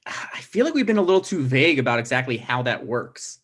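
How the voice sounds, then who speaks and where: A man playing a dry, hesitant young political candidate in a comedy table read, given to deadpan asides. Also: medium pitch, a little fast.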